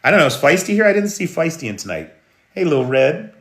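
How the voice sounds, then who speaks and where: A man's voice: a little high, big pitch swings, quick pace, roomy sound. An American man hosting a live news stream, talking unhurriedly and answering viewers' comments. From United States. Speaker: news livestream host. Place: room in Kyiv during air alert.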